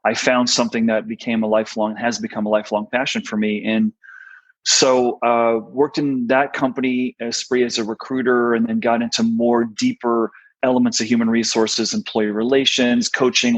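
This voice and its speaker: A middle-aged American man, a podcast guest, telling his career story in a relaxed way with many fillers. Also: mid-range voice, rough recording. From United States. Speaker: guest, talent strategist. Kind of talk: podcast interview.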